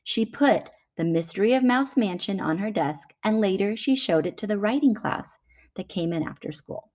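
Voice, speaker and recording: A woman reading a children's picture book aloud in an animated storytelling manner. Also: medium pitch, normal speed.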